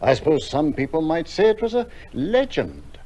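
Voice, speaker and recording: A man's voice: on the high side, huge pitch swings, normal speed, mellow, muffled recording, heavily acted. An actor playing a reserved upper-class military man, musing in understatement in an audio drama. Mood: musing, puzzled.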